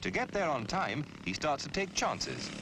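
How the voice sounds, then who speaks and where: Male narrator, very animated, noisy soundtrack. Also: a little high, moderate pace. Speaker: narrator of a road safety film. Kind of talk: scripted public information film narration. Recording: noisy old film soundtrack, traffic sounds.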